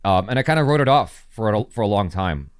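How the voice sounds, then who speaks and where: Enthusiastic low-voiced man guest. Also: fast talker, clean tone, dry recording, studio quality. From United States. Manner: animated, enthusiastic storytelling. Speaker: guest, reaction channel creator. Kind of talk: casual interview storytelling.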